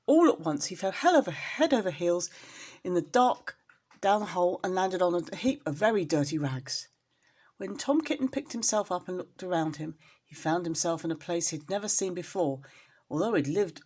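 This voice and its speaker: A woman storyteller reading expressively to young children, in an engaging, animated manner. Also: fairly low pitch, moderate pace. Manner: animated, addresses young listeners with questions.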